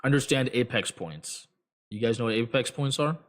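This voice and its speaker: A man hosting a trading show, giving blunt, rambling advice to viewers with many fillers, his voice getting hoarse. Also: medium pitch, moderate pace, fairly bright tone. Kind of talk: casual advice talk to viewers.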